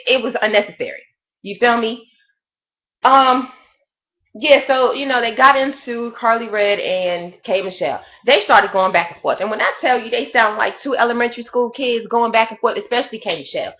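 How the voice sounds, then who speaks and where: A woman's voice: a little high, fairly bright tone, muffled recording. A woman in her late thirties giving a blunt, profane, rambling gossip review of a reality show in a casual chat. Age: 30s.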